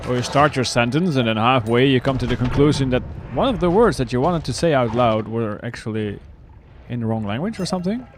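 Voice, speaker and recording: A man's voice: medium pitch, lively intonation, fairly quick, a little hiss or hum. A man streaming a video game live, chatting casually and laughing as he answers his chat. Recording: clear game music under the voice. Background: game music.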